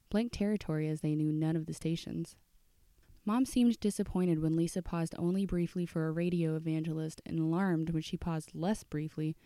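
A woman's voice: lowish voice, mellow, clean tone, dry recording, clean studio sound. A woman narrating a scripted work of fiction as an audiobook reader.

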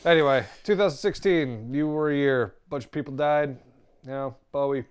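A man's voice: on the high side, moderate pace, smooth. Male podcast host, rambling and profane, casual delivery. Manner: profane, rambling, swings from mumbling to shouting. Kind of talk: casual chat.

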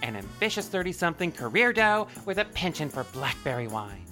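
A woman's voice: low voice, big pitch swings, a little fast, pushed and bright, soft background music, full character voice. A woman playing a petulant, showy rabbit android who hosts a show in an audio drama, with sarcastic flourishes. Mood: mock-smooth. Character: bratty theatrical female rabbit android show host.

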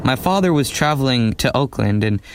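A man's voice: medium pitch, expressive, normal speed, a little hiss or hum. A young man narrating his own story in a wry, reflective way. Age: young adult. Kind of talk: scripted narration of a memoir drama.

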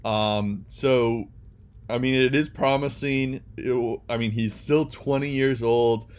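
A man's voice: mid-range voice, steady tone, slow pace, a little mellow, clear, resonant tone. A young man co-hosting a casual sports podcast, talking hesitantly with many ums and restarts. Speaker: podcast co-host.

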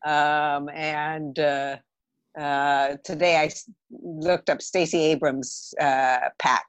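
Low-voiced American woman, halting and casual. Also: fairly even intonation, clean tone, no echo. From Los Angeles area. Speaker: podcast guest, theatre director and writer. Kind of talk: casual chat.

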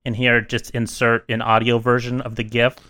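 A man co-hosting a casual podcast, dry and deadpan, reading a mock statement. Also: mid-range voice, moderate pace. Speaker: podcast co-host.

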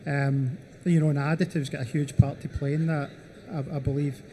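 A man's voice: on the high side, little pitch movement, moderate pace, dark tone, slight background noise. A man speaking as a panelist in a panel discussion, leaning on "you know" as filler. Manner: repeats "you know" often.

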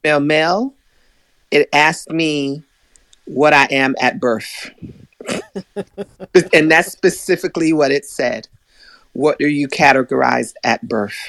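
A woman's voice: low voice, slow, pauses often, fairly bright tone, no echo. A woman in casual podcast chat, easygoing and often stumbling over her words.

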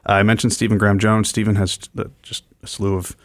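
Man, low voice, radio co-host, informal event rundown, enthusiastic, halting delivery, dry acoustic. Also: little pitch movement, no echo. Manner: hesitant, frequently pausing and repeating words. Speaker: radio co-host and festival programmer. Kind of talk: informal radio program talk, explaining events.

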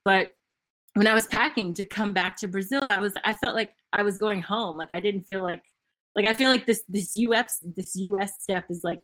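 A woman's voice: medium pitch, normal speed, fairly bright tone, poor audio. A young woman from Tennessee hosting a podcast over a remote call, chatty and casual, with lots of "like" and "um" and frequent laughter. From Memphis, Tennessee, United States. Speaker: podcast host.

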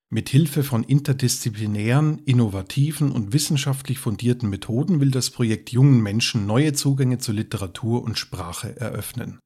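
A man hosting a podcast, reading a formal, measured introduction and then asking his guest questions. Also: medium pitch. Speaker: podcast host. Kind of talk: scripted introduction and interviewing.

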